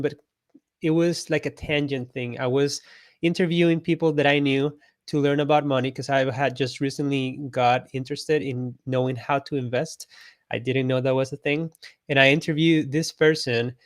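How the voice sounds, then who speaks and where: A man's voice: mid-range voice, no room sound. Friendly Costa Rican man, dry close sound. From Costa Rica. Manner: friendly, reflective, laughs often. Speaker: guest, illustrator and artist coach. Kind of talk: conversational interview storytelling.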